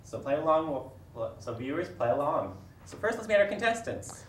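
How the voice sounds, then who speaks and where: A young man with a fairly high voice hosts a casual trivia quiz in a halting, stumbling way. The room is echoey, with faint noise behind him. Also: sing-song. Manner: halting, stumbling over words. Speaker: quiz host. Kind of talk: casual trivia show hosting.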